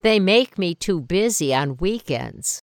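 A woman's voice: lowish voice, animated, slow, no echo, studio quality. A woman reading as an unhappy schoolgirl. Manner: careful, clearly enunciated textbook reading. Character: schoolgirl complaining about busy weekends. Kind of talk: scripted listening-test dialogue. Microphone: close studio mic.

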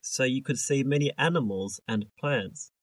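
A man's voice: mid-range voice, no room sound, poor audio. Man reading a dry-sounding English listening test. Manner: measured, careful enunciation. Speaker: exam listening test reader. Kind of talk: scripted English lesson reading.

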